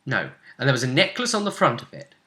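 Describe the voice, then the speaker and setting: A man's voice: medium pitch, fast. A man chatting casually and playfully as game master, recapping a tabletop role-playing session. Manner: playful, animated, acts out game moments.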